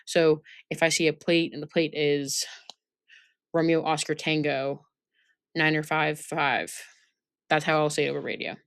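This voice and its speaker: A woman's voice: sits low, flat delivery, a little slow, pauses often, clean tone, no echo, studio quality. A woman instructor giving an informal training lesson, reading out points and explaining them, often checking that listeners follow. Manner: instructive, checks understanding with tag questions.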